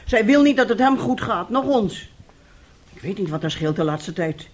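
A husky middle-aged mother, played by a radio actress. Her voice swings widely in pitch as she coaxes and schemes, with a weary, wheedling edge. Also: animated, normal speed, close to an everyday voice. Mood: weary, bitter. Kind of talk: radio drama acting.

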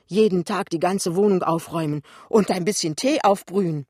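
A woman's voice: fairly low pitch, normal speed, a little mellow, breathy edge, dry recording, voice pushed up. A young husband voiced by a woman reader in a literary audio drama, cheeky and easily exasperated. His talk is blunt, colloquial and dismissive, in a very dry close recording.

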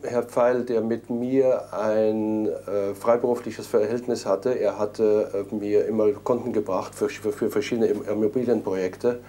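A man's voice: fairly low pitch, steady tone, unhurried, hardly any pauses, warm tone. A man from Munich, a property businessman in an interview, recounting events hesitantly with many restarts. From Munich, Germany.

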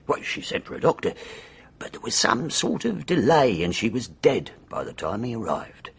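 Very husky, animated British investigator. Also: male voice, medium pitch, heavily acted. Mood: matter-of-fact. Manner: brisk, colloquial, emphatic. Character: blunt, confident male investigator, eager to accuse. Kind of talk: BBC radio drama acting.

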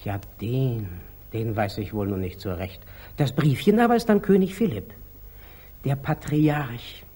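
Slow, husky, humble lay brother. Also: male voice, medium pitch, expressive, warm tone. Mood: sly, hesitant. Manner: hesitant, meek, deliberate. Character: humble, artless, obedient monastery lay brother. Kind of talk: 1940s German radio stage acting.

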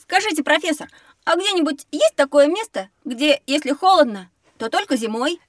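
A woman's voice: sits high, expressive, normal speed, dry recording, clean studio sound. A woman voicing an eager, curious young schoolboy in a children's educational audio drama. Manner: inquisitive, enthusiastic.

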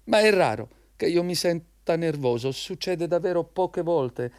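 Anxious male employee, high voice, servile, rambling, repetitive, eager to please, radio play. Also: lively intonation, a little slow, darkish tone. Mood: nervous, rambling. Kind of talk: radio drama dialogue.

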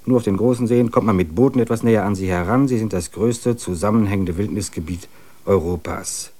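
An actor playing an earnest German man of forty who longs for wilderness, reading out his letters in a measured manner. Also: fairly low pitch, dark tone, lightly acted. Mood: matter-of-fact planning. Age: 40s. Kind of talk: radio play, letter reading.

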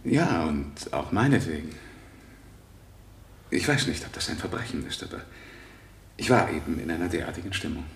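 A man's voice: extremely low pitch, big pitch swings, exaggerated voice. An actor playing an anxious, hesitant husband and father testifying at his trial in a radio play. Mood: troubled, subdued. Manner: hesitant, halting, searching for words. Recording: echoey room, 1970 radio play.

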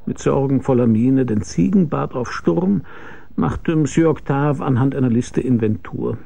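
An actor playing a cynical, world-weary investigator who narrates a radio drama in a dry, laconic, husky voice. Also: male voice, mid-range voice, unhurried, mellow. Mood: dry narration. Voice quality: gravelly, husky. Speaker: narrator and lead character. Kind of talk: hard-boiled radio drama narration and dialogue.

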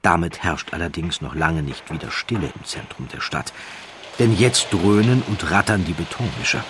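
A man narrating a scripted documentary story in a measured, dramatic way with deliberate pauses. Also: deep voice, background noise.

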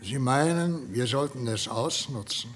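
An actor playing an older, formal and skeptical professor in a science fiction radio play. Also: male voice, mid-range voice, unhurried, a little hiss or hum, close to an everyday voice. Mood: incredulous.

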